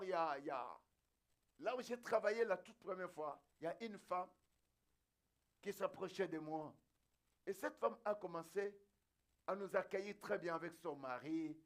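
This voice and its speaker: A male pastor preaching a sermon in an emphatic, exhorting and repetitive way. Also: high voice.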